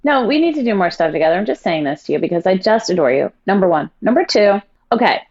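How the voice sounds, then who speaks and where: A woman's voice: medium pitch, big pitch swings, a little mellow. Effusive woman, slightly muffled audio. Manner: effusive, rapid storytelling with quoted asides. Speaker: guest, stage actress. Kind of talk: casual interview chat.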